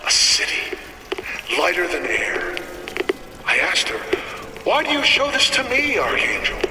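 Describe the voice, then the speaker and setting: An actor playing a zealous, menacing older prophet in a video game, preaching in pompous, theatrical oratory with dramatic pauses. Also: male voice, high voice, wildly swooping pitch, reverberant, long reverb tail, distant mic, background noise, exaggerated voice. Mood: reverent, solemn. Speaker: main antagonist.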